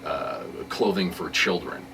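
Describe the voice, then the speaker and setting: A man's voice: very deep voice, normal speed, creaky, pushed and bright, far from the mic. A man interviewing casually on the radio, with an informal, loosely phrased way of talking. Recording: noisy, off-mic radio interview recording.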